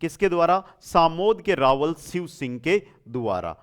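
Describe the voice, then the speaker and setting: A man's voice: on the high side, very animated, a little slow, smooth. A man teaching an exam lesson, posing questions, repeating the answers and addressing students familiarly. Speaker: online exam coaching teacher. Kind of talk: quiz-style lesson, asking questions and giving answers.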